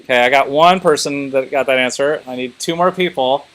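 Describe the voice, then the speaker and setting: A man's voice: medium pitch, a little fast, a bit bright, poor audio. A man teaching a class informally, thinking aloud and prodding students with questions.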